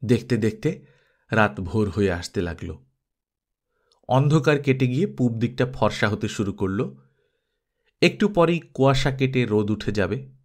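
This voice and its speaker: A male storyteller reads scripted literary fiction. His mid-pitched voice moves with very lively intonation, giving the narration an expressive, dramatic shape. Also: measured pace, pauses often. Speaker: narrator, audiobook reader.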